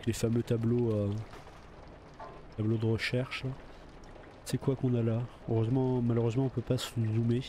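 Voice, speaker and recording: A man's voice: medium pitch, little pitch movement, normal speed, pauses often, dark tone, a little hiss or hum. A man with a slight cold, chatting casually to himself while playing a video game. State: a bit of a cold. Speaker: let's play gamer. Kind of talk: casual gameplay commentary.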